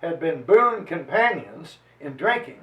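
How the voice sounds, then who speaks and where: A man giving an emphatic, declamatory dramatized reading of a historical chronicle, stretching out phrases deliberately. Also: fairly high pitch, very animated, fairly bright tone. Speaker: reader and lecturer on history. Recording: roomy echo, voice distant from microphone.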